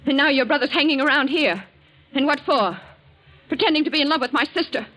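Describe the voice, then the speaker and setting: Scornful, bitter wife, vintage radio. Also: female voice, high-pitched, brisk, rough recording. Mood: scornful anger. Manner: sharp, scornful, accusing. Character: bitter, ailing, wealthy wife scolding her husband. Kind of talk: 1940s radio drama acting. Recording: old 1940s radio broadcast.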